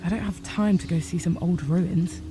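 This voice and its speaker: A woman's voice: fairly low pitch, steady tone, normal speed, over music, lightly acted. A woman voicing an earnest young female rabbit apprentice healer in a fantasy game, polite and restrained. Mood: dry. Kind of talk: visual novel voice acting.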